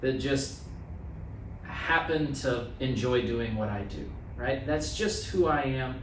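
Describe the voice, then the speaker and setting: A man's voice: mid-range voice, slow pace, recorded from a distance. An American man in his thirties, plain-spoken, repeating phrases for emphasis in a casual podcast conversation. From small town in the USA. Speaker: guest health practitioner. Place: small office meeting room. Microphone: podcast mic on boom arm. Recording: roomy, off-mic sound, faint noise.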